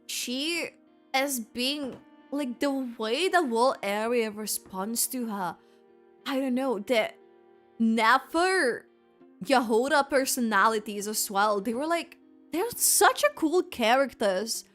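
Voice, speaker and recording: A woman's voice: fairly high pitch, expressive, slow, fairly bright tone, faint music behind. A woman streaming a video game, giving casual reaction commentary in a rambling way, often trailing off mid-thought.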